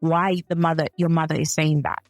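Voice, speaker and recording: A woman's voice: low voice, flat delivery, fairly quick, no room sound, soft background music. A woman from Zambia co-hosting a faith and relationships podcast, speaking thoughtfully and often correcting herself mid-sentence. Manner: thoughtful, self-correcting, with frequent restarts. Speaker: podcast co-host. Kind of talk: casual chat.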